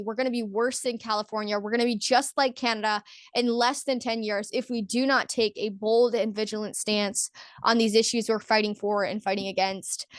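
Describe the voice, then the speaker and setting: A woman's voice: on the high side, no echo, clean studio sound. Eager young woman hosting a podcast. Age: young adult. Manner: eager, upbeat, earnest. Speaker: podcast host.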